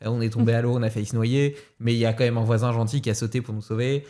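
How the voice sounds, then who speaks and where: A man hosts a casual podcast conversation. His voice sits at an ordinary middle pitch. He talks reflectively and hesitates often as he thinks aloud. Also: fairly even intonation, very fast, warm tone. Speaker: podcast host.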